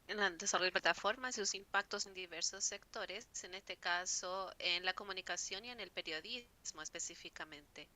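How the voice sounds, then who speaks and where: A woman's voice: medium pitch, pushed and bright. A woman moderating a conference panel, delivering prepared remarks formally with occasional slips.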